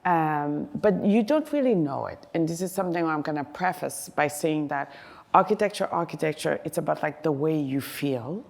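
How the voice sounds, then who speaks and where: A woman's voice: low voice, lively intonation, moderate pace, vocal fry, darkish tone. A middle-aged woman architect from Haiti, answering on a panel in a chatty way with many fillers. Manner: chatty, lots of fillers, self-deprecating.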